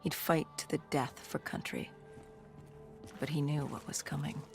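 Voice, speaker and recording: A woman playing an intense, haunted soldier in a video game, speaking in a grave, hushed and halting way. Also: deep voice, fairly even intonation, soft background music. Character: haunted, intense female soldier recounting the apocalypse. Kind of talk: dramatic video game voice acting.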